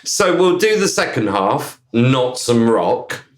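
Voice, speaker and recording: A man hosting a podcast, asking his guests questions in a slow, relaxed way. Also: medium pitch, roomy sound. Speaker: podcast host. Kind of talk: casual podcast interview.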